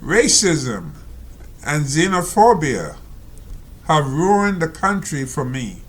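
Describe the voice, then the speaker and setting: A man reading out and commenting on a reader's letter, talking slowly and rambling with many fillers and asides. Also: on the high side, big pitch swings, fairly bright tone. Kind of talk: casual spoken commentary.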